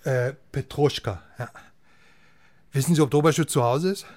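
A man's voice: medium pitch, normal speed, darkish tone, lightly acted. An actor playing an agitated newspaperman in a radio crime drama, urgent and impatient. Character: agitated West German newspaperman looking for friend.

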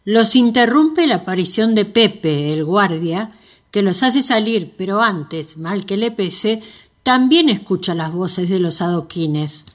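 A woman with a Rioplatense Argentine accent narrating a scripted radio play in a measured storytelling manner. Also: medium pitch, expressive.

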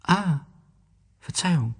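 A man voicing a gentle, curious, sad young boy in a storybook reading, polite and questioning. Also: on the high side, lively intonation, slow pace, husky, big-room sound, voice pushed up, exaggerated voice. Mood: sad, apologetic.

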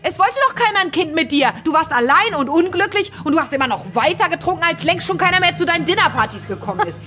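High-voiced, combative woman writer. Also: expressive, quick pace, pushed and bright, soft background music, full character voice. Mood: accusing. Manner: argumentative, indignant. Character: combative, self-assured woman writer and mother. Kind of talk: naturalistic radio play acting.

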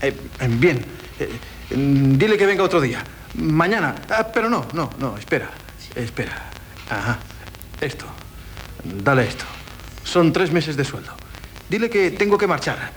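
Flustered scheming husband, noisy old radio farce. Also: male voice, on the high side, sing-song, a little slow, pauses often, fairly bright tone. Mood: agitated. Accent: Spain. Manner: stammering, fussy, insistent. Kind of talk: 1970s radio theatre comedy acting. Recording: noisy 1970s radio broadcast.

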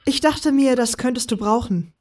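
A woman acts out a young woman with a high voice, set a little back from the mic. She is breezy and sure of herself, always ready with a teasing jab. It is audio drama, played as a sneaky, carefree companion. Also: normal speed, darkish tone, far from the mic, close to an everyday voice. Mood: casual, encouraging. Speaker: voice actor in a fan audio drama. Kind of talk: German fan audio drama acting.

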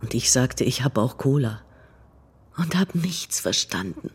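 An actor in a radio drama playing a wistful grown son in an intimate, halting, reflective monologue, hushed and husky. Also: male voice, mid-range voice, very animated, very noisy. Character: wistful grown son haunted by a brief meeting.

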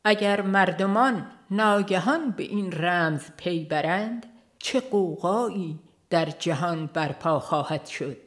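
A woman reading a religious audiobook in a formal, measured way, as a scripted narration. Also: lowish voice, animated.

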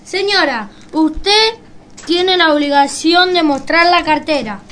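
A woman acts out a stubborn store manager with a high voice. The figure is officious and pedantic, pressing every point of the rules in an amateur comic radio play. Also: slow, a bit bright, slight background noise, full character voice. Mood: officious, alarmed. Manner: insistent, pedantic.

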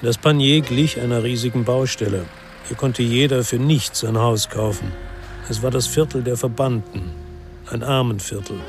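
Guy acting a brooding ex-cop telling his crime story, medium pitch, restrained and world-weary. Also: soft background music, close to an everyday voice. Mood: reflective, brooding. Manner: measured, reflective, restrained. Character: brooding, world-weary male ex-policeman from Marseille, first-person narrator. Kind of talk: literary crime drama narration and dialogue.

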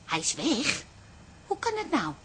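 An actress playing an indignant, stubborn middle-aged wife and daughter in a radio play, sharp-tongued and exclamatory. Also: female voice, on the high side, wildly swooping pitch, measured pace, a little hiss or hum, full character voice. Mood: impatient, puzzled. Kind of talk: naturalistic Dutch radio drama acting.

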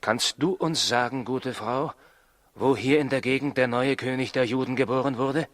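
An actor playing a forthright travelling stranger on a quest in a 1960s German radio play. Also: male voice, medium pitch, normal speed, fairly bright tone, no room sound, close to an everyday voice. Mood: calling out. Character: forthright travelling stranger seeking a newborn king.

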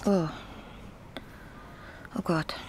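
A woman's voice: drawn-out words, background noise. An actress in a German radio drama playing a concerned, pragmatic confidante of a lady. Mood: dismayed, soothing.

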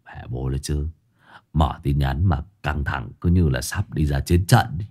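A man's voice: a bass voice, expressive, fairly quick, darkish tone, husky, pitched below the performer's own voice, exaggerated voice. A man voicing a crude, blustering male thug who swears coarsely and whines when he is scared. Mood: muttering.

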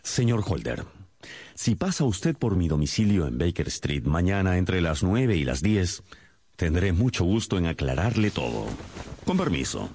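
A man acting in a radio drama as a confident, methodical gentleman detective with a measured, courteous, authoritative manner. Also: low voice, sing-song, breathy edge, heavily acted. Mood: brisk, probing. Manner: measured, authoritative, polite questioning.

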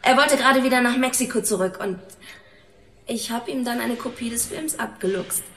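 An actress playing a frightened, evasive young runaway in a German radio drama, halting and pleading. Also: female voice, on the high side, a bit bright. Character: frightened, evasive young runaway woman under police questioning. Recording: roomy, echoing studio interrogation scene.

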